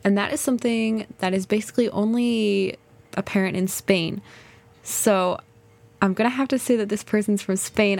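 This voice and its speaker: A young woman hosting a casual solo podcast, chatty and thinking out loud, often saying "kind of" and "like". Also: medium pitch. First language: English. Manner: chatty, thinks aloud, many "kind of" and "like" hedges. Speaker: podcast host.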